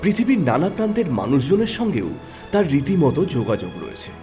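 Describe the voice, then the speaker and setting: A man narrating an audio story in an expressive storytelling manner. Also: on the high side, a little fast, faint music behind. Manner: expressive, rising and falling storytelling.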